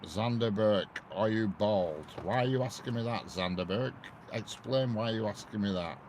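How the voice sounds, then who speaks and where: A man's voice: fairly low pitch, normal speed, darkish tone. A man with a Lancashire accent chatting casually on a live stream, greeting viewers by name and laughing often. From northwest England. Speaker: railway livestreamer. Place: outdoors by a railway line. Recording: noisy outdoor live stream.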